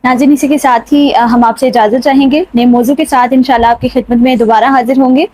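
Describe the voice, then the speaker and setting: Courteous, high-voiced woman host. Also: steady tone, darkish tone, no room sound. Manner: courteous, appreciative. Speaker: show host. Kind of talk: formal literary programme hosting.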